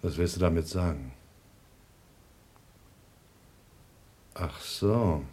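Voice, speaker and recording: Stern rule-following astronaut, radio play, lowish voice, anxious, suspicious, clipped. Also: male voice, normal speed, mellow, husky. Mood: guarded, principled. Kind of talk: radio drama acting.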